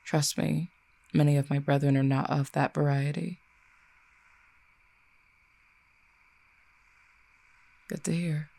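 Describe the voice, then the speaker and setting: Audio drama role: ancient fallen cupid, acted by one performer, mellow and creaky mid-pitched voice, formal, wry, quietly menacing. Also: level tone, close to an everyday voice. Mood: wry, curious. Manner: formal, eloquent, measured archaic phrasing. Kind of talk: roleplay audio drama, addressing the listener.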